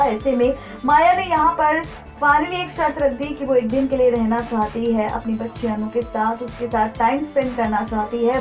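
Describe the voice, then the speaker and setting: A woman with an Indian accent presenting scripted entertainment news about TV serials in a dramatic, emphatic way. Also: a little high. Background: background music.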